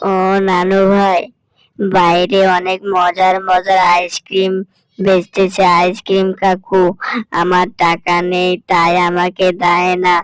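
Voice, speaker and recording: A woman's voice: on the low side, smooth, dry recording, rough recording. A woman voicing a little boy in a cartoon who whines and begs for ice cream. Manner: whiny, wheedling child voice. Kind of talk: cartoon voice acting.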